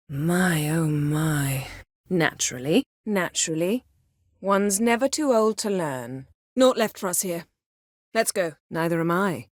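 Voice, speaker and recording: A woman playing a proud, sardonic, commanding sorceress in a video game, speaking in dry, measured, formal phrases. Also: fairly low pitch, dry recording. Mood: cool, wry.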